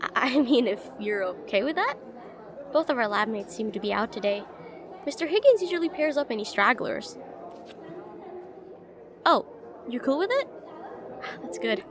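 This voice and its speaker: A woman's voice: on the high side, very animated, moderate pace, pauses often. A woman playing a shy, rambling young witch student in a slice-of-life fantasy audio roleplay, chatty, hesitant and self-deprecating. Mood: nervous, friendly. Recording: voice over busy classroom crowd ambience.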